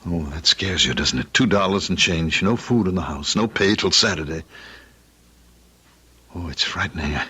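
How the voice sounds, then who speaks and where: A worried husband in an old radio play, bright forceful voice, swings all over, urgent but tender. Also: male voice, low-pitched, lively intonation, normal speed, husky, heavily acted. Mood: despairing. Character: anxious hard-up husband, urgent, exasperated yet tender. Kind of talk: old-time radio drama acting.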